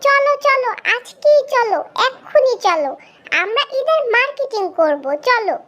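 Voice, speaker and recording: A woman's voice: very high voice, sing-song, normal speed, fairly bright tone, dull audio, full character voice. A woman with a Bangladeshi accent voicing a cheeky young girl in a comic cartoon, insistent and whining as she pleads. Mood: pleading, eager. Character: pushy, cheeky young girl begging for Eid shopping money.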